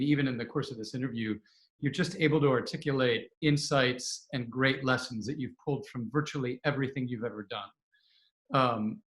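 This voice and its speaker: A man hosting an interview, speaking at a middle pitch with encouraging praise for his guest. His voice rings in a roomy, echoey space. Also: a bit bright. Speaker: interviewer, organization president. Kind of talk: interview questions.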